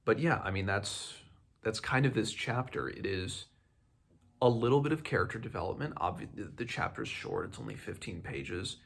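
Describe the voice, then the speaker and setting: A man hosting a book read-along, talking casually and haltingly as he thinks aloud. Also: fairly low pitch, expressive, creaky, fairly bright tone.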